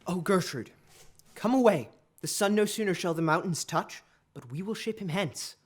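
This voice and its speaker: A man's voice: high voice, lively intonation, normal speed, husky. A man playing a troubled, commanding king in a Shakespearean audio drama, declaiming formally.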